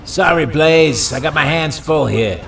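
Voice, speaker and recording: A man playing a gruff, gravelly, irritable hulking supervillain in a superhero audio drama. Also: a little high, animated, unhurried, heavy background noise. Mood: sneering, irritated. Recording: distant sound under loud music.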